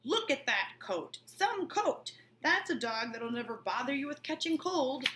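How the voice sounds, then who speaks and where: Woman doing an animated old New York dog seller. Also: high voice, measured pace, reverberant, noisy background, voice pushed up. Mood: eager selling. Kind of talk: acted dialogue in a read-aloud novel.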